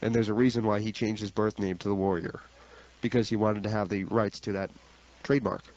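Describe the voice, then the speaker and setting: A man's voice: fairly low pitch, fairly even intonation, a little fast, darkish tone. A man co-hosting a radio show in casual, sardonic chat on lo-fi audio. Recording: very dull, dry lo-fi audio.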